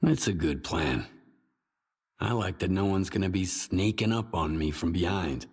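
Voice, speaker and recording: An actor playing a steady, pragmatic stalker hero in a video game, with an understated, composed manner. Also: male voice, deep voice, unhurried, husky, heavily acted. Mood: matter-of-fact. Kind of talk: dramatic video game dialogue.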